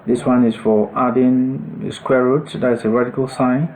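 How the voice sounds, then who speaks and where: A man giving an unscripted step-by-step software demonstration, thinking aloud in a halting manner. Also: mid-range voice, flat delivery, poor audio. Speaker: software tutorial instructor.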